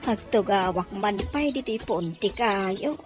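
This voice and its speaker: A woman's voice: medium pitch, animated, slow pace. A woman acting in an Ilocano radio drama as an anxious, kindly woman minding a baby, sighing and lamenting to herself. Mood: worried, lamenting. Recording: radio drama with music bed.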